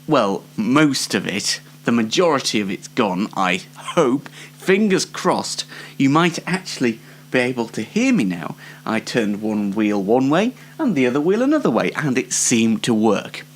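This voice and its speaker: Casting: British man, 20, solo show host, dry and rambling, with swooping intonation and slow delivery. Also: mid-range voice, big pitch swings. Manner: dry, tongue-in-cheek, rambling. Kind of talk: informal solo show presenting.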